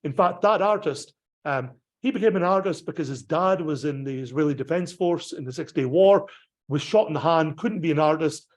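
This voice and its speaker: A man's voice: a little high, moderate pace. A Scottish man, a pastor, giving a digressive slideshow talk about a pilgrimage, full of ums and restarts. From Scotland.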